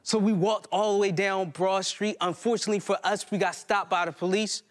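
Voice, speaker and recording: A man in his thirties performs poetry live on stage in a high voice. His delivery is theatrical and rhythmic, leaning on repetition and dramatic pauses to drive each line. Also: fairly even intonation. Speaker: spoken word poet performing a stage play. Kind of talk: theatrical spoken-word poetry monologue. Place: live stage in a plain hall before a small seated audience.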